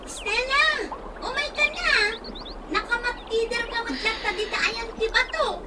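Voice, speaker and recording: An actress with an Ilocano accent playing a practical, nosy young best friend in a radio drama. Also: female voice, very high-pitched, unhurried, background noise. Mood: teasing, brisk.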